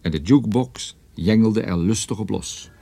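A man's voice: on the low side, moderate pace, vocal fry, mellow. An actor playing a wry private detective who narrates his own story in a radio drama, dry and ironic. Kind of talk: first-person radio drama narration, noir.

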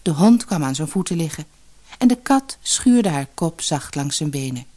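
Female storyteller for a children's audiobook, slightly low pitch, animated melody, expressive scripted read. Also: moderate pace. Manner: acts out the dialogue. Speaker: storyteller reading a fairy tale.

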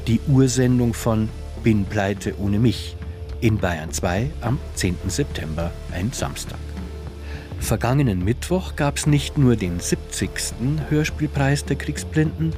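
A man's voice: medium pitch, darkish tone. A man presenting radio plays in scripted narration.

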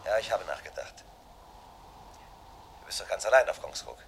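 A man's voice: low-pitched, expressive, moderate pace, vocal fry, fairly bright tone, exaggerated voice. An actor playing an anxious, insistent man in a German radio crime drama, giving clipped instructions while trying to hide his alarm. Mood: tense, guarded. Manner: insistent, clipped instructions, breaking off mid-thought. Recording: noisy vintage radio play recording.

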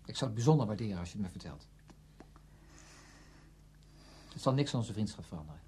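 An actor playing an earnest, careful friend and narrator in a Dutch radio play. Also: male voice, lowish voice, animated, racing, a little mellow, breathy edge. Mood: concerned, probing. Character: earnest male friend, probing, narrating his discovery.

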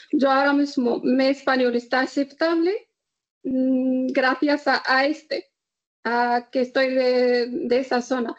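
A woman from northern Morocco, answering interview questions in a halting way, pausing often to search for words. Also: sits high, muffled recording. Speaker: guest.

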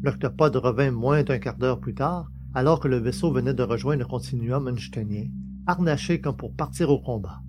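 A man's voice: mid-range voice, normal speed. A man reading a science-fiction novel as a scripted, dramatic audiobook narration. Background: music.

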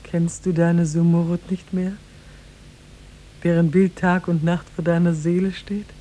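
An actress playing a young woman who rules disguised as a king, formal and measured, in a 1950s German radio play. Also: little pitch movement, mellow, lightly acted. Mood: tender, wistful. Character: young woman ruling disguised as a king, regal, secretly tender. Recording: 1950s radio recording with faint rumble.

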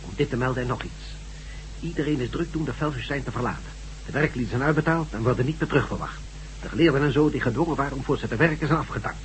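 An actor playing a dutiful police chief inspector in a radio drama, firm, official and clipped. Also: male voice, medium pitch, fast, natural voice. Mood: brisk, businesslike. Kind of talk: Dutch radio drama acting. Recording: slightly noisy old radio recording.

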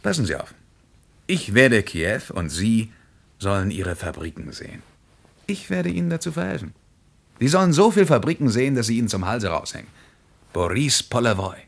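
An actor playing an eager, insistent German traveller in a radio play, speaking with impassioned emphasis. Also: male voice, medium pitch, sing-song, normal speed, gravelly.

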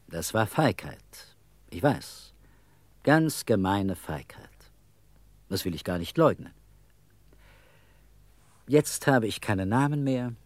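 Bitterer Landstreicher, langsam und wechselhaft betont. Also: male voice, mid-range voice, darkish tone, dry recording. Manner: halting, confessional, dramatic, sudden emphasis. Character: homeless old male drifter and beggar, bitter, wry. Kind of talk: German radio play acting.

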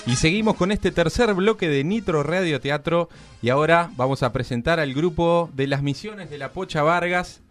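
A man's voice: a little high, normal speed, soft background music. A man with an Argentine accent hosting a live radio show, presenting and interviewing. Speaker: radio host.

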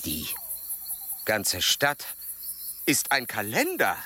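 An actor playing an earnest, proud, meticulous male German explorer in a radio drama, speaking in formal, precise, measured diction. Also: fairly low pitch, wildly swooping pitch, pushed and bright, slightly rough, full character voice. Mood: grave, pondering.

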